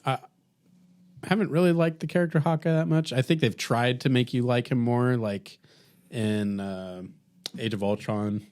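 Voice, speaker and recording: A man co-hosting a podcast, giving his opinions casually in a halting, understated way. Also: mid-range voice, a little mellow, smooth. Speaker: podcast co-host. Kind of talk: casual TV review chat.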